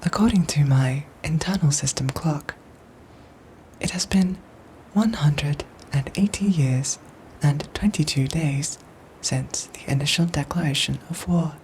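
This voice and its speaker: Woman as android soldier for ASMR drama: low, soft and whispery, formal, measured, gently protective, over noisy ambience. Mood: formal, matter-of-fact. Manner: measured, formal, robotic phrasing with careful pauses. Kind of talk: ASMR audio roleplay acting.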